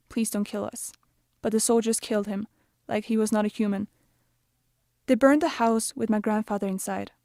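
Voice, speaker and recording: A young woman with a Bosnian accent, an activist reading an earnest, measured personal narration. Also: mid-range voice, dark tone, no echo, studio quality. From Denmark. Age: young adult. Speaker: youth activist.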